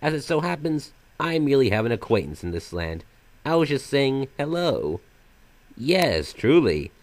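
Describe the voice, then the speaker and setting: A man's voice: mid-range voice, big pitch swings, moderate pace, a little mellow, no room sound. A man voicing a flamboyant magical trickster villain from a video game, half silly and half sinister, with florid, mock-polite theatrical delivery. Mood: mock-polite, playful. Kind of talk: fan voice-over of video game villain lines.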